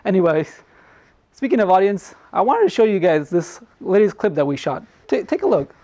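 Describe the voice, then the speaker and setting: A man's voice: high-pitched, sing-song, normal speed, a little mellow. A man hosting a comedic talk show in a casual, joking way, often repeating himself.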